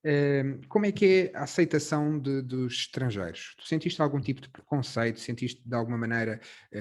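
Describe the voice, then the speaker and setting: Male podcast host with a European Portuguese accent, mid-range voice, careful and wordy conversational interviewing. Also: medium pitch.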